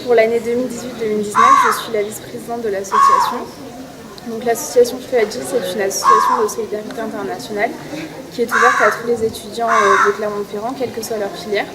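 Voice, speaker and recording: A 21-year-old woman student introducing her association to the camera, halting with frequent fillers. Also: a little high, very noisy. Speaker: student association vice-president. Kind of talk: casual presentation to camera.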